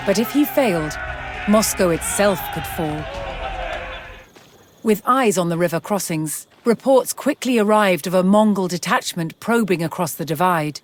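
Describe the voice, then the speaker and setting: Woman narrating a history game, serious measured storyteller, music playing under her. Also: lowish voice. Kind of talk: scripted documentary-style game narration. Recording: music bed behind the narration.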